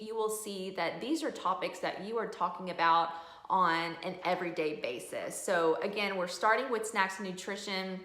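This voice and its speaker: Female reviewer, mid-pitched voice, earnest and conversational explainer delivery, recorded in a reverberant room. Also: fairly bright tone. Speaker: homeschooling mom reviewing a curriculum. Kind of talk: casual explanatory product review. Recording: roomy, echoey room sound.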